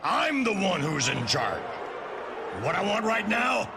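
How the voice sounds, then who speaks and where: A man's voice: high-pitched, big pitch swings, unhurried, background noise, full character voice. A man playing a pompous, self-admiring wrestling company boss in a video game, speaking theatrically. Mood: indignant command. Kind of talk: video game cutscene speech.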